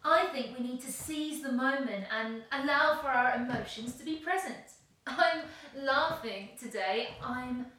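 A woman's voice: high-pitched, measured pace, sharp tone. An actress in an audio play voicing a passionate, world-weary woman who speaks emphatically and emotionally. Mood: defiant. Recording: very echoey room, distant, faint noise.

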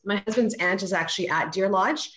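A woman's voice: medium pitch, a little fast, a bit bright, a little hiss or hum. A woman sharing family anecdotes casually in a group online chat. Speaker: chat participant. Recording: slightly dull video-call audio.

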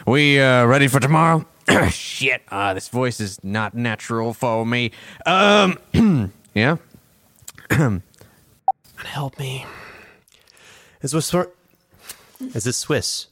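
A man's voice: mid-range voice, lively intonation, takes it slowly, long pauses, fairly bright tone, no room sound. A man voice acting in a recording session, restarting lines and joking between takes. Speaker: voice actor.

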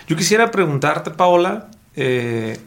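A man's voice: fairly high pitch, sing-song, takes it slowly, creaky. A man hosting a podcast, asking friendly, rambling questions. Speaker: podcast host. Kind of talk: casual interview.

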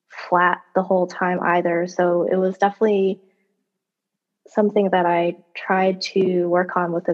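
A woman whose first language is Cantonese, an author and audiobook narrator answering interview questions in a thoughtful, measured way with light laughs. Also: fairly low pitch, darkish tone, lo-fi sound.

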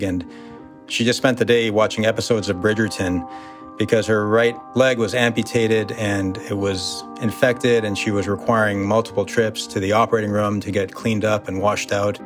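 An American man, a surgeon, recalling his work in a thoughtful, halting way with fillers. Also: lowish voice, fairly even intonation, music in the background. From United States. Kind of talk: interview recollection.

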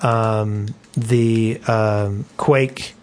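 A man's voice: on the low side, fairly even intonation, very slow. A man co-hosting a gaming podcast, talking casually with a jokey, storytelling manner. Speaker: podcast co-host.

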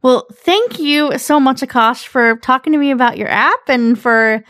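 Higher-pitched woman hosting, close dry sound. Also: measured pace, a bit bright, no echo. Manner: upbeat, encouraging. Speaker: podcast host, interviewer. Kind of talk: casual podcast interview.

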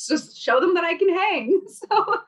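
A woman's voice: very high voice, animated, a little slow, far from the mic. A young woman from Kazakhstan, Russian her first language, chatting as an interview guest with many fillers and laughs. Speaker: guest, fashion brand founder. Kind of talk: casual interview chat.

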